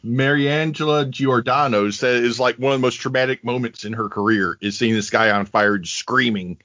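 Man, podcast co-host, sarcastic, deadpan, profane, dry room, muffled audio. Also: medium pitch, moderate pace, no echo. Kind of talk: casual chat, recapping a film.

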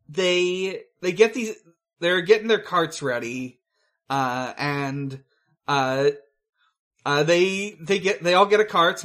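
A man co-hosting a podcast, casually recapping a film in a halting way, searching for words. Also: fairly high pitch, fairly bright tone. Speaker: podcast co-host.

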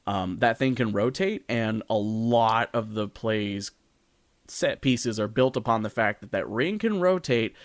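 Low-voiced man chatting in a dry recording. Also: unhurried, dry recording. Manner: hesitant, rambling, casually sweary. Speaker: podcast co-host. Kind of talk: casual discussion.

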